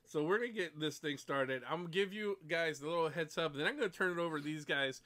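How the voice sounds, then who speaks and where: A man in his late forties hosts a casual livestream chat. He rambles, restarts his sentences and laughs often. His speaking voice sits slightly high for a man. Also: quick pace, a bit bright. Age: 40s. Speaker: stream host.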